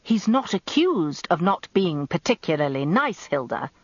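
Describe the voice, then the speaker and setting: A woman voicing a gruff older male barrister husband, terse, dry and sardonic, in a radio drama. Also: fairly low pitch, big pitch swings, unhurried, dry recording, lo-fi sound. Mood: sharp.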